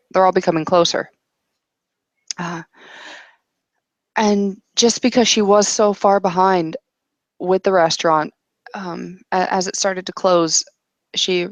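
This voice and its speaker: A woman's voice: lowish voice, gravelly, dry recording. A woman casually narrating an improvised tabletop roleplay as game master, hesitant and thinking aloud as she goes.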